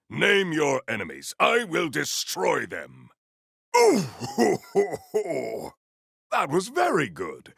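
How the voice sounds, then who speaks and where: An actor playing a proud, honorable warrior in a video game, speaking in a stately, theatrical, formal way. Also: male voice, fairly low pitch, gravelly, a bit bright, dry recording, clean studio sound, heavily acted. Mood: stoic, formal, then grandly delighted. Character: proud, honorable, formal warrior, adult man, earnest and grandiose. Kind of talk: video game character voice lines.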